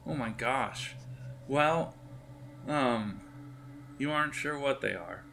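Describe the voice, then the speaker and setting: A man's voice: mid-range voice, expressive, takes it slowly, vocal fry, sharp tone, a little hiss or hum. A man narrating a tabletop game as game master in a casual, rambling, improvised way. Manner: rambling, improvising, hesitant phrasing.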